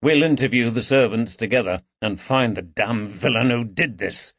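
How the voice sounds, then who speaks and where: Man acting an angry, gruff elderly gentleman. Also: medium pitch, normal speed, no room sound, dull audio, natural voice. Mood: stern anger. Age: older. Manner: indignant, snapping, forceful. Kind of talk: audiobook character dialogue.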